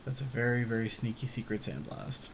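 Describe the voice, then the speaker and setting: A man's voice: mid-range voice, lively intonation, brisk, gravelly, fairly bright tone, a little hiss or hum. A man co-hosting a podcast, chatting casually through a game in short, agreeable remarks. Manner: agreeable, brief interjections. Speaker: podcast co-host. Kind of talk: casual game commentary with a co-host.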